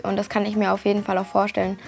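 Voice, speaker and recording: A woman's voice: medium pitch, monotone, very fast, creaky, a little mellow, soft background music. A young actress chatting casually in an interview, earnest and feeling her way through her phrases. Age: teens or young adult. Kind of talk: casual on-camera chat. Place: small cosy indoor room.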